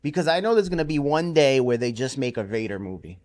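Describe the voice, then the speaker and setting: A man's voice: mid-range voice, very animated, fast, darkish tone. A young man hosting a podcast, talking in a laid-back, slangy way and laughing often. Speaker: podcast host. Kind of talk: casual chat.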